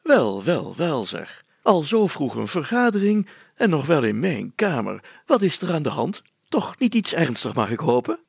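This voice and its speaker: A man's voice: on the high side, sing-song, unhurried, gravelly, slightly rough, dry recording, pitched above the performer's own voice, exaggerated voice. A man voicing a self-assured, genial town mayor in a storybook, slightly patronising. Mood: cheerful. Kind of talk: dramatized storybook reading.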